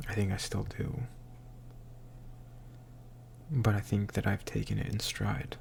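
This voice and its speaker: Man, low creaky voice, flat intonation, hushed and halting, slightly tipsy, confessional audio diary. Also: slight background noise. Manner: halting, hushed, introspective, often trailing into whisper. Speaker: audio diarist.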